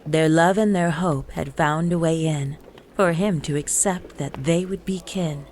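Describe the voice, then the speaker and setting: A woman narrating a rhymed Christmas story in verse with a measured, lilting delivery. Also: sits low, a little mellow, slight background noise. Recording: music bed under the voice. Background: music.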